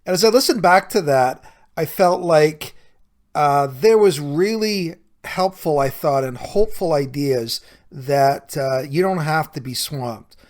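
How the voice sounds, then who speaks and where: A man's voice: on the high side, unhurried, darkish tone. A man hosting a podcast solo, talking conversationally and earnestly, explaining things directly to the listener as a coach would. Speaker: podcast host and recovery coach.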